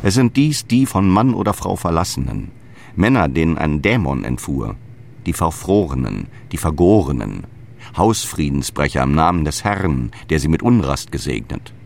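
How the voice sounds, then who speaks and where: An actor playing a stern, zealous apostle who preaches and commands in solemn scriptural language for an audio drama. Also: male voice, rumbling low, sing-song, normal speed, vocal fry, darkish tone, heavily acted. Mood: solemn, intense. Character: Paulus, stern zealous male apostle, guardian of the faith.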